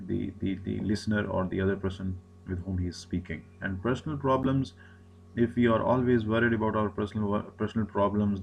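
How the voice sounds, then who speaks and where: A man's voice: fairly low pitch, vocal fry, a little mellow, slight background noise, low-quality recording. A man, an Urdu speaker, giving a recorded lecture, often repeating himself and restarting phrases. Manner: repetitive, many restarts and fillers.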